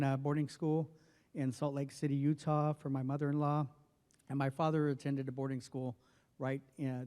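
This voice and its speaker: Soft-spoken man in a big hall. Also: medium pitch. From northwestern New Mexico. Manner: soft-spoken, many hesitations and fillers. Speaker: federal health official giving a speech. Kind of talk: personal remarks at a formal event. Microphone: podium microphone. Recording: long reverb of a large hall.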